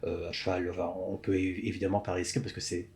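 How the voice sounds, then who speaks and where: Man, deepish voice, podcast guest, thoughtful, stops and restarts a lot. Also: level tone, a little mellow. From Canada, Montreal. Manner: thoughtful, halting, many restarts and fillers. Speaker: podcast guest, script supervisor. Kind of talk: interview conversation.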